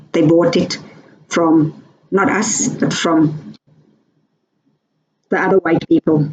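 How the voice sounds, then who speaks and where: A South African woman, a native Afrikaans speaker, talking casually to her viewers with pauses and asides. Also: lowish voice, dull audio. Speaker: vlogger. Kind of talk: casual commentary to camera.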